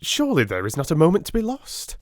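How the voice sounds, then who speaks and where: Audiobook sidekick, acted by male reader: eager, courteous gentleman, urgent manner, raised and swooping pitch. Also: fast talker, dry recording, clean studio sound. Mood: urgent surprise. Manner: urgent, polite, excitable. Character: earnest, eager gentleman companion to a detective. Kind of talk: dramatised audiobook character reading.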